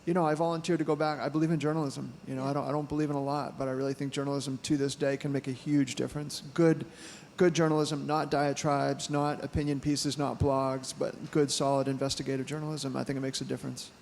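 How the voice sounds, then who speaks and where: A man's voice: medium pitch, level tone, fast, vocal fry, few pauses, a little mellow. A man of about fifty, a photojournalist answering audience questions in a candid, hesitant way, with many fillers and restarts. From United States. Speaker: photojournalist speaker. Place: brewery event hall. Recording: long reverb in a brewery hall, faint room noise.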